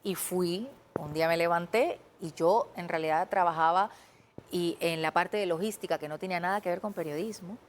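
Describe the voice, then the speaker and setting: Puerto rican tv journalist lady, fairly low voice, earnest and animated, studio interview. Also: normal speed, a little hiss or hum. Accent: Puerto Rican Spanish. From Puerto Rico. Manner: earnest, animated, quotes her own past thoughts. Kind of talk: conversational interview storytelling.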